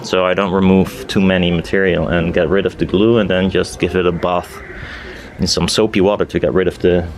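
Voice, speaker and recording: A man's voice: low voice, level tone, fairly quick. A man chatting casually and thinking aloud as he works on a DIY build, hesitant and often trailing off mid-thought. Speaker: DIY maker filming a build video. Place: outdoors in a garden. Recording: noisy outdoor garden recording.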